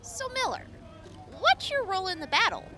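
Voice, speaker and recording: A woman's voice: very high voice, very animated, moderate pace, over loud music. A woman playing an upbeat young army medic in an audio drama, chatty and giggly, stammering when flustered. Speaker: field medic.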